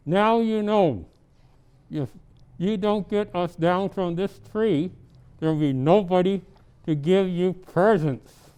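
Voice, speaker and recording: A man voicing an exasperated grandfather from a storybook, pleading loudly. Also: high voice, slow, clean tone. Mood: stern bargaining. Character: exasperated grandfather stuck up a tree, yelling and bargaining.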